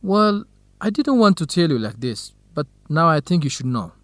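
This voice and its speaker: A man acting a gentle, supportive young researcher and loyal friend in a radio drama, speaking in measured, carefully enunciated lines. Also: on the high side, very animated, no echo. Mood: hesitant, uneasy. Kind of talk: radio drama acting, clear dialogue for language learners.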